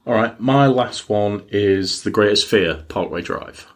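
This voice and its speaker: A young man co-hosts a relaxed podcast in a fairly low voice. He talks in an easygoing, casual way, often pausing and restarting mid-sentence as he gathers his thoughts. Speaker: podcast co-host. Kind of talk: casual chat.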